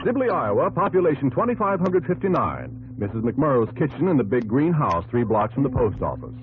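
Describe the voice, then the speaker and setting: A man's voice: medium pitch, sing-song, fairly quick. An American man narrating a 1950s radio documentary-drama in an earnest, dramatic yet conversational manner. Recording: vintage radio broadcast, narrow band, loud music.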